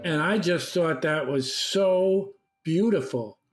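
A man's voice: a little high, slow pace. An older man casually sharing personal reminiscences in a group discussion. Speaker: discussion participant. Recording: music behind the voice.

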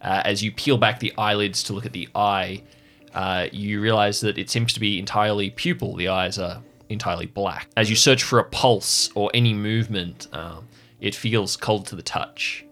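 A man narrating a tabletop role-playing game as game master, in a measured, descriptive way. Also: lowish voice, fairly even intonation, fairly bright tone.

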